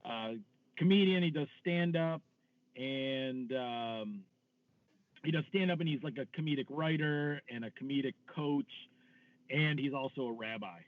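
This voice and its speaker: A man's voice: mid-range voice, unhurried. A man with a Detroit accent, a filmmaker speaking hesitantly in a casual interview. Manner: hesitant, lots of fillers. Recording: tinny phone-like line.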